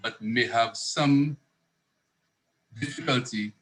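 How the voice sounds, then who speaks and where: A man's voice: medium pitch, slow pace, fairly bright tone, poor audio. A man, a psychiatrist explaining mental health in an interview and earnestly rephrasing his points as he goes. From Saint Lucia. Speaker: psychiatrist guest.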